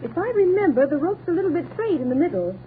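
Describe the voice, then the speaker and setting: A woman's voice: very high-pitched, sing-song, fast. An actress playing a kindly, dithery, genteel neighbour lady in an old radio comedy. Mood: helpful. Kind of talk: 1940s radio comedy acting. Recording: tinny, hissy old radio recording.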